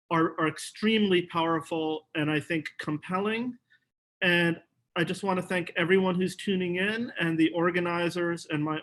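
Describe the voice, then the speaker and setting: A man's voice: fairly high pitch, fairly bright tone. A middle-aged man, a professor, speaking earnestly and conversationally as a panelist on a video call.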